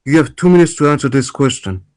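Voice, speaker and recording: A man reading the part of a formal debate moderator in an amateur play table read, deliberate and repeating the odd word. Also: mid-range voice, expressive, a little mellow, close to an everyday voice. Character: formal, measured male presidential debate moderator.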